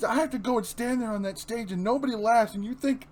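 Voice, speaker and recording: A man's voice: very high voice, fast talker, a little mellow, voice pushed up. A man voicing a nervous, defensive husband, a failing comedian, in an amateur comic book reading. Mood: defensive, anguished.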